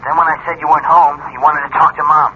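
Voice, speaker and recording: An actor playing a nervous, obedient American teenage son, hesitant and apologetic, in a 1970s radio drama. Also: male voice, on the high side, little pitch movement, fast, natural voice. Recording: muffled, noisy old radio recording.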